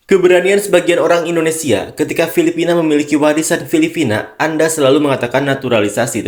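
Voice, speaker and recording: A man in scripted narration, reading football news and online comments in an even, read-aloud way. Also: on the high side. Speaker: sports channel narrator.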